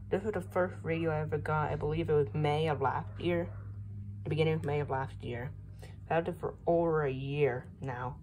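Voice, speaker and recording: A woman's voice: low-pitched, darkish tone. A young woman with an American accent giving a casual show-and-tell narration on a handheld phone at home. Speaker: hobbyist vlogger showing a collection. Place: room at home. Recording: noisy handheld phone recording.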